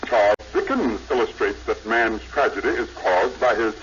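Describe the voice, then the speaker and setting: A man's voice: on the low side, normal speed, fairly bright tone, natural voice. A man acting a pompous, refined man of letters with an affected, erudite manner in a 1950s radio sitcom. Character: pompous, refined literary gentleman rival. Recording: dull vintage radio, faint noise.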